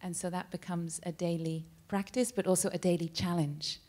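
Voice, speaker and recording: A man, a monk, calmly answering audience questions at a cinema Q&A, unhurried and with frequent pauses and fillers. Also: high voice. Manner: calm, unhurried, with many ums and uhs. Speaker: monk at a practice center. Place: cinema stage at a Q&A. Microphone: handheld mic.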